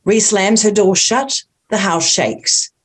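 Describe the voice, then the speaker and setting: A woman's voice: mid-range voice, slow pace, breathy edge. A woman reading the stage directions at a script table read.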